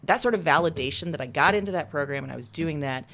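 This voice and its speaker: A woman's voice: low voice, quick pace, fairly bright tone. A woman writer being interviewed, talking candidly and informally in a casual conversational style.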